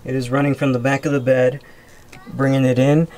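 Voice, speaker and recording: Male how-to host, mid-pitched and clear, informal, explaining step by step. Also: flat delivery, a little fast, darkish tone. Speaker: video host. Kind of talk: casual how-to tutorial.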